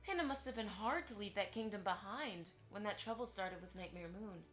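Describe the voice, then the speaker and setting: A woman's voice: medium pitch, animated, a little fast, a bit bright, lightly acted. Woman playing a politely prying pony socialite over music. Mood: polite curiosity. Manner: formal, courteous, pointedly inquisitive. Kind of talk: fan audio drama acting.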